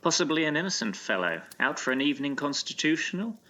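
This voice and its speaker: An actor playing a genteel British gentleman in an audio drama, attentive and politely reasoning in formal speech. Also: fairly high pitch, big pitch swings, quick pace, highs cut off, slight background noise. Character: genteel, attentive gentleman listening to a ghost story.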